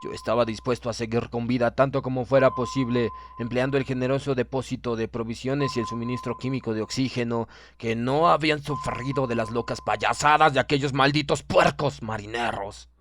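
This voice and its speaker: A man's voice: medium pitch, steady tone, fairly quick, hardly any pauses, noisy background. A man reads a horror story aloud as an audiobook narrator, in dramatic scripted narration. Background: background music.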